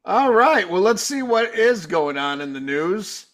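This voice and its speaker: A man's voice: high voice, very animated, measured pace, fairly bright tone. A man in his fifties hosting a casual rock podcast, blunt and laid-back, swearing freely. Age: 50s. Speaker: podcast host. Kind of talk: casual chat.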